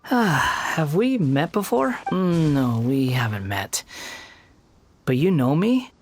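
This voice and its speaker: An actor playing a gentle, humble young male wanderer, polite, earnest and soft-spoken, in a video game. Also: on the high side, very animated, takes it slowly, heavily acted. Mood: puzzled.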